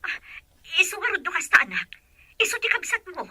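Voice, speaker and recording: A woman's voice: extremely high pitch, huge pitch swings, a little fast, pushed and bright, dry recording, full character voice. A woman with a Filipino accent acting a caring, determined mother in an audio drama.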